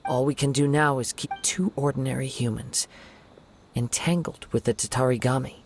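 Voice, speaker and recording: A man playing a calm, perceptive young wandering samurai in a video game, speaking in a measured, softly formal way. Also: medium pitch, vocal fry, background noise, close to an everyday voice. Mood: calm, pensive.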